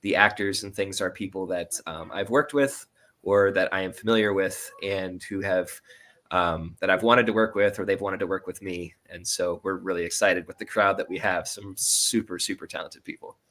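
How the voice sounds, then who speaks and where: Bright-voiced man chatting in an interview. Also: deep voice, fairly even intonation, normal speed. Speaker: audio drama producer and editor, interview guest. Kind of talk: casual interview chat.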